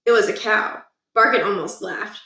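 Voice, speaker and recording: A woman reading a children's book aloud in an animated storytelling style. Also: medium pitch, normal speed, roomy sound, far from the mic.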